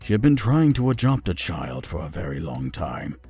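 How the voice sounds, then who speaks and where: A man's voice: low-pitched, very animated, normal speed, a little mellow, breathy edge, faint music behind. A man reading the narration of a visual novel. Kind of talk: storybook narration.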